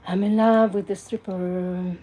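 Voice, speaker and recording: A woman's voice: mid-range voice, steady tone, unhurried, mellow. A South African woman in her forties chatting casually on a live stream, answering viewers and taking a call in between. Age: 40s. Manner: chatty, answers viewers and phone calls, switches between English and Zulu.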